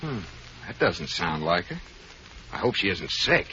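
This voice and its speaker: An actor playing a flustered, fretting husband in an old radio sitcom with a studio audience. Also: male voice, deep voice, sing-song, unhurried, bright, forceful tone, muffled recording, slight background noise, full character voice. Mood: fretful. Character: flustered, affectionate middle-aged husband. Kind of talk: 1940s radio comedy acting.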